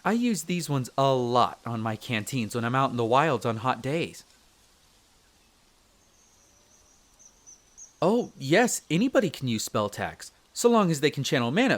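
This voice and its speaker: Man playing a lively, friendly elf explorer. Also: medium pitch, close to an everyday voice. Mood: upbeat, instructive. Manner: easygoing, explanatory, conversational. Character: friendly chatty male elf explorer, eager magic mentor. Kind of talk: roleplay audio drama, addressing the listener directly.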